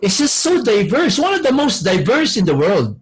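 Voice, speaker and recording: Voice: medium pitch, huge pitch swings, moderate pace. An interview host who steers the conversation and reacts with enthusiastic interjections.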